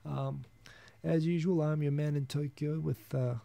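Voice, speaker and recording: A man's voice: fairly high pitch, fairly even intonation, unhurried, vocal fry, warm tone, no room sound. A man in his thirties with a New Zealand accent, hosting a casual solo live stream, rambling and chuckling as he reads viewer comments. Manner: rambling, chuckling, frequent restarts.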